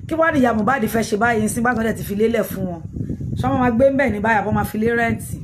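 A woman's voice: fairly high pitch, very fast. A middle-aged woman with a Nigerian Yoruba accent, a native Yoruba speaker, giving casual live commentary in an emphatic way, repeating her phrases. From Ijebu, southwestern Nigeria. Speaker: livestream host commenting on news. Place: small indoor room with curtain. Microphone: phone at arm's length. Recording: noisy phone recording with room ambience.

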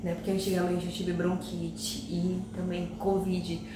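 A woman's voice: on the low side, monotone, background noise. A young woman chatting to her viewers while she cleans at home, stopping now and then to rest. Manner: chatty, addresses viewers directly, pauses to rest while working. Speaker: home vlogger. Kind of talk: casual cleaning vlog narration. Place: large bare tiled dining room and kitchen at home, indoors. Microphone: camera across the room. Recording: echoey room, heard from a distance.

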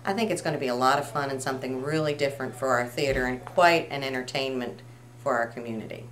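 A woman's voice: very deep voice, quick pace, low-quality recording. A middle-aged woman giving a promotional talk to camera in an indoor room. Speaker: community theater representative. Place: indoor room, camera a few feet away. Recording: noticeable hum and noise.

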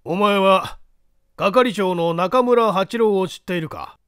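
A man performing a stern, probing judge in a mystery story. The voice is notably high and very clear, with a dry close sound. He questions bluntly and with authority. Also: slow, a bit bright, dry recording. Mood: stern, commanding. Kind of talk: dramatised mystery story reading.

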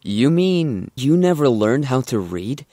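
Man as a gentle, slow-speaking young suitor. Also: medium pitch, very animated. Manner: gentle, earnest. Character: kind, polite, shy young male suitor. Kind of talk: audio drama acting.

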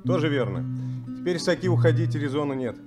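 An actor playing a matey, teasing crewmate in a 1970s Soviet radio play. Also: male voice, fairly high pitch, lively intonation, quick pace, natural voice. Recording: loud guitar behind the voice.

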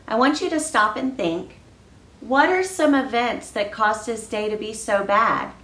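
A woman reading coach giving an expressive children's read-aloud, pausing to put questions to young listeners. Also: fairly high pitch. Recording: roomy, distant mic, faint background noise.

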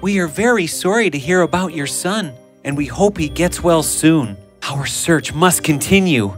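A man playing a courteous, devoted young noble husband in an animated fairy tale, formal and earnest. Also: on the high side, moderate pace, lightly acted. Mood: reassuring. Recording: music bed behind the voice.

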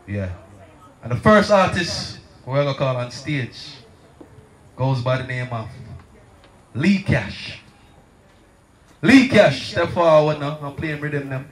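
A man's voice: on the high side, lively intonation, slow pace, pauses often. A man, hosting an open mic and announcing on stage. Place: outdoor night stage with sound system. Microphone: handheld microphone. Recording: echoey event sound system.

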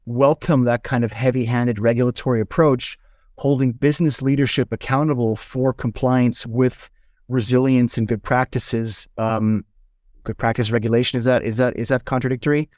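A man's voice: mid-range voice, steady tone, moderate pace, darkish tone, dry recording, studio quality. A man from Switzerland hosting a conversational interview, often restarting and stacking up his phrases. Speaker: podcast host.

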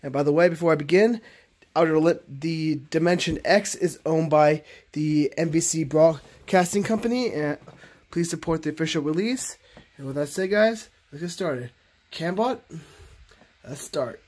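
A man voicing chatty plush toy characters for a reaction show, speaking in a halting, stammering way. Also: a little high, a little mellow, close to an everyday voice. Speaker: host of puppet reaction show. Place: bedroom.

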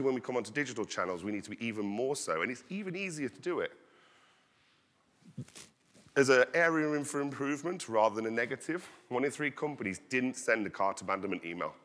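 A man in his thirties giving an energetic conference talk, projecting his voice as he walks the stage on a headset mic. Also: lowish voice. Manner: loud, projecting voice. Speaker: conference speaker. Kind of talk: conference presentation. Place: large conference hall stage. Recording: long hall reverb.

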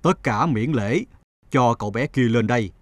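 A man's voice: mid-range voice, lively intonation, normal speed, noisy background, voice pushed down, natural voice. A man voicing a proud, stately emperor who tests his subjects in a cartoon fable. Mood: commanding. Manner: stately, commanding.

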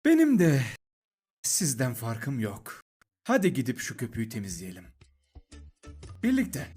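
An actor in an animated series dub playing an easygoing, awkwardly cheerful flat-dweller, hesitant and expressive. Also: medium pitch, a little slow, slightly rough, faint music behind, heavily acted. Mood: fond, relieved.

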